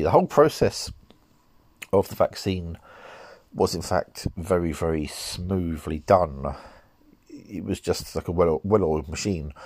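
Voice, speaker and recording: A deep-voiced man in middle age, sounding nasal and a little worn from a cold. He talks in a measured, rambling way, often correcting himself as he goes. The recording is dry and close, with no room sound. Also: darkish tone, no room sound. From United Kingdom. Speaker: podcast host. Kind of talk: solo podcast monologue.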